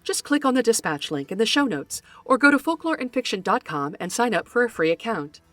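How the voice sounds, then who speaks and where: A woman's voice: fairly high pitch, sing-song, a little fast, dry recording. A woman podcast host reading a scripted announcement to listeners. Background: music.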